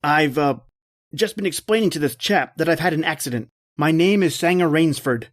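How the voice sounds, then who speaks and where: Radio adventure hero, male actor: polite, inquisitive castaway hunter, slightly raised pitch, dramatic first-person delivery. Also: normal speed, lightly acted. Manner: earnest, dramatic storytelling. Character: shipwrecked male big-game hunter, polite, observant, inquisitive.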